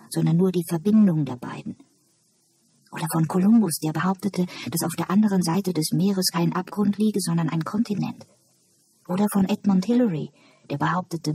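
A narrator voicing a calm, knowing woman asylum patient who muses and explains in an audiobook. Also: medium pitch, slight background noise, natural voice.

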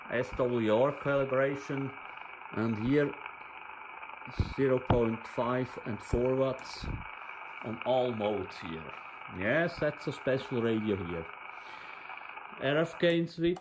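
Guy showing off gear, slow and halting, lots of noise behind him. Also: medium pitch. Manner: halting, short fragmented phrases. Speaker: presenter of a radio equipment channel. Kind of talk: informal hands-on product demonstration. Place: indoors at a table. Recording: noisy, with hiss and radio static.

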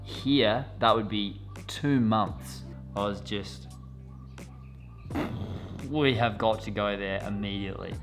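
A man's voice: fairly low pitch, slow pace, pauses often, music in the background. A man, chatting animatedly to camera as a vlogger. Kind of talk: casual talk to camera. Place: poolside villa outdoors, then living room.

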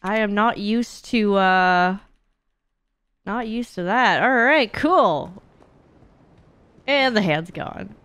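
A young woman hosting a gaming livestream, chatting casually, reacting loudly and swearing freely. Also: medium pitch, expressive, slow, pauses often, smooth, no echo, clean studio sound. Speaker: livestream host and speedrunner. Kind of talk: casual gaming stream commentary. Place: indoors, gaming room at home.

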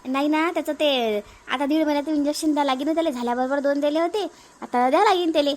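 Woman as a high-voiced, chatty young mother. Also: quick pace, a little mellow, natural voice. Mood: reassuring. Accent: Varhadi Marathi. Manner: chatty, explaining. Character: young mother, compliant chatty daughter-in-law in family cartoon.

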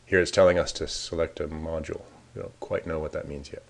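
A man casually talking through a software demo, thinking aloud as he clicks around the screen. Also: a bass voice, fairly even intonation, quick pace, creaky. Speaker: software developer demoing a tool. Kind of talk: screencast walkthrough.

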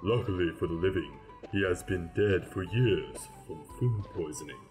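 A man's voice: low-pitched, normal speed, darkish tone, faint music behind, lightly acted. A man voicing a patient, fussy male grim reaper in a fan radio play, formal and explanatory. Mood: explaining.

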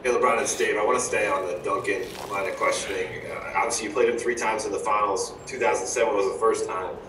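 A male reporter with a fairly low voice sets up a long, detailed question. He sounds distant and roomy, with plain noise under his voice. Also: fairly even intonation, fast. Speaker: sports reporter. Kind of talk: press conference question. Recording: distant, echoey line with noise.

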